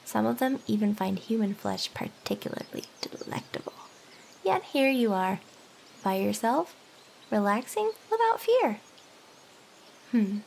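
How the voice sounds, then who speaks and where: A woman acts out an eager, smitten harpy girl in an anime ASMR roleplay. Her voice sits on the high side and is chirpy and coaxing, slipping into flustered chatter or soft whispers. There is audible noise behind the voice. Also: creaky. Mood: curious, coy. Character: hyper, eager young female harpy, smitten and possessive.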